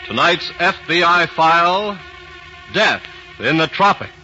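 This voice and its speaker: Male American announcer, high voice, declamatory, formal, music behind, dull vintage radio sound. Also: animated, measured pace. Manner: declamatory, polished. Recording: dull 1940s radio recording.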